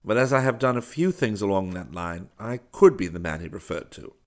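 A reserved male inventor in an adventure audiobook, formal and measured, voiced by a man narrating. His low voice stays understated, with a dry modesty. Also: expressive. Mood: modest, uncomfortable. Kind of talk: audiobook character dialogue.

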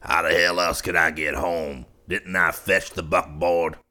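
A hard old rancher with a deep, slow growl that snarls through every curse, as a man puts him on. His voice stays low and heavy, impatient with whoever is talking to him. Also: steady tone, bright, forceful tone, full character voice. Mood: irritable.

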